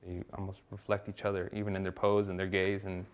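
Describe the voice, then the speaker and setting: A man's voice: low-pitched, monotone, fast talker, a little hiss or hum. A man in his thirties from Costa Rica, an artist talking reflectively in a documentary interview, with many fillers and restarts.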